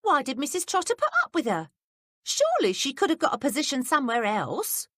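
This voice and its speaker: A woman voicing a clever, nosy young housemaid who asks eager, high-pitched questions. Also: wildly swooping pitch, brisk, a bit bright, no echo, studio quality, heavily acted. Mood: indignant.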